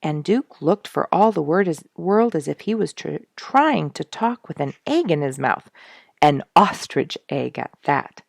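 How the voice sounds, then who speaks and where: A woman reading a story aloud as an expressive, deliberate narrator. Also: low voice, creaky, no echo, clean studio sound.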